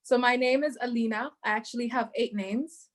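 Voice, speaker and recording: A woman's voice: on the high side, fairly even intonation, fairly quick. A young woman, answering interview questions with light self-deprecating humor. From Zambia. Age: young adult. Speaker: guest entrepreneur.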